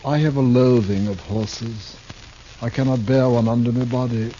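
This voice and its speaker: A man's voice: medium pitch, steady tone, moderate pace, warm tone, natural voice. A man playing a pompous, theatrical travelling Shakespearean actor in a 1950s radio western, with florid, formal diction. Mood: groggy, haughty. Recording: muffled old radio recording, faint noise.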